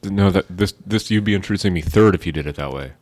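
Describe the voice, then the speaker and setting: A man's voice: sits low, fast, darkish tone. A man co-hosting a podcast, trading dry, teasing banter with friends. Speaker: co-host. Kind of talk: casual chat among friends.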